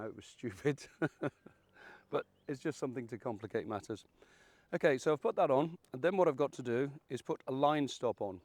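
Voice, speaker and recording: A man around seventy, croaky and hoarse from illness, hosting a fishing channel and walking through a rig in a chatty, folksy, self-deprecating way. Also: medium pitch, darkish tone, no echo. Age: 70s. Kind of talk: casual how-to demonstration.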